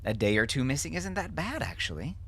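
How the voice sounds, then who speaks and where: Man playing a weary, reassuring father, animated pitch. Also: breathy edge, full character voice. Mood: careful, explaining. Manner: halting, careful explaining. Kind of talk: audiobook character acting.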